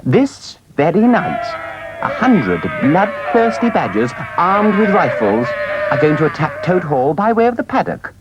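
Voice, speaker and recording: A man's voice: high voice, sing-song, a little slow, lo-fi sound, heavy background noise, pitched above the performer's own voice. A man voicing a small, cheeky male mole, gleeful and pleased with himself. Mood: storytelling. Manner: gleeful, storytelling.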